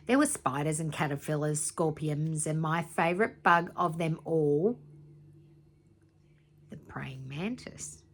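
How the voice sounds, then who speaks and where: A woman reading a children's story aloud in an expressive, dramatic teacherly way, with asides to young listeners. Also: sits low, takes it slowly. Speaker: teacher reading aloud to primary school students.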